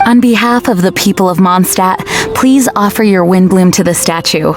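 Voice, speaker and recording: Woman voicing a formal, mid-pitched festival officiant. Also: moderate pace, close to an everyday voice. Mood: ceremonial. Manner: formal, solemn, polite. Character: dignified, courteous woman presiding over a festival ceremony. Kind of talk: video game voice acting. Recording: music under the voice.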